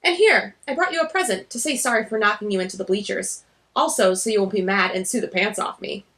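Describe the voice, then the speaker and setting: A woman voicing a friendly, teasing, energetic teenage girl in a graphic novel read-aloud, chatty and emphatic. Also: medium pitch, a little fast, natural voice.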